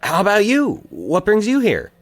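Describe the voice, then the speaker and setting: A man performs an earnest young man in an audio drama, wistful and a little halting. His delivery swings widely in pitch, and the sound is dry and close. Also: wildly swooping pitch, dry recording, soft background music, exaggerated voice. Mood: eager, friendly. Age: young adult. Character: Mike, wistful, hesitant young man back home.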